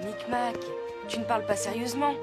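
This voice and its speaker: A woman as a high-voiced cartoon boy. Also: a little fast, over loud music, lightly acted. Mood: frank, dismissive. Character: friendly, forthright young boy hero. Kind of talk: animated series character acting.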